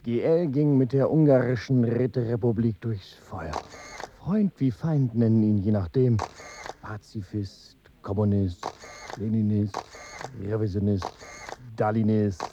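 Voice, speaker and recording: An actor playing a stern chronicler in a German radio drama, narrating in a clipped, emphatic manner. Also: male voice, on the low side, a little slow, muffled recording, noisy background. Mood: clipped, matter-of-fact.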